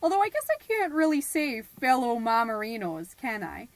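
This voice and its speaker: A fussy suburban mom played by a woman hosts her homemade podcast in a fairly high voice. She is perky and folksy on the surface, judgmental and passive-aggressive underneath, rambling like a middle-aged neighbor in a scripted comedy audio show. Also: big pitch swings, normal speed, dry recording. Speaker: podcast host.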